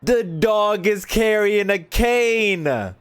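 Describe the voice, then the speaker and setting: A man's voice: high-pitched, expressive, drawn-out words, clean tone. A young man doing casual livestream reactions to a game, joking in slang and repeating phrases for effect. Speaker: game streamer. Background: game music.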